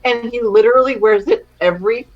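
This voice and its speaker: A woman's voice: a little high, a little fast. Blunt, sweary woman on a muffled call. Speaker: parent. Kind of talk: casual group chat on a stream.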